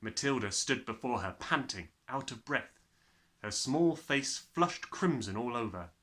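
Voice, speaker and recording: A man reading a children's story aloud as an expressive narrator. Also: mid-range voice, a little slow. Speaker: audiobook reader.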